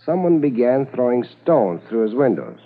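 A man playing a calm, capable police corporal in a 1950s radio drama, with a husky voice. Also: mid-range voice, moderate pace. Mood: measured, reporting. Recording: tinny 1950s radio recording.

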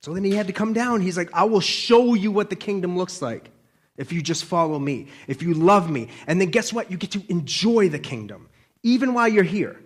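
A man's voice: sits high, expressive, a little fast. A man giving an informal, conversational sermon as a preacher, colloquial and often checking in with listeners.

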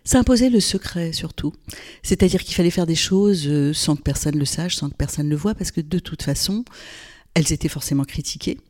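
A woman's voice: deep voice, brisk, a little mellow. A woman in her sixties from Lebanon, a podcast guest recalling her past in a thoughtful way, often hesitating and repeating words. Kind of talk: podcast interview, personal recollection.

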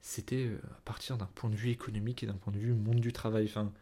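A man's voice: on the low side, level tone, quick pace, mellow, husky. A young man hosting a solo podcast in an informal, chatty way, often wandering off into asides and interrupting himself. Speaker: podcast host. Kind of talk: casual solo podcast monologue.

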